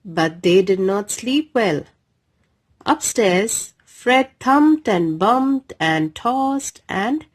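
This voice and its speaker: Slow-paced woman reading a children's story. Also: mid-range voice. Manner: slow, deliberate, expressive delivery for young children. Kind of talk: read-aloud children's bedtime story narration.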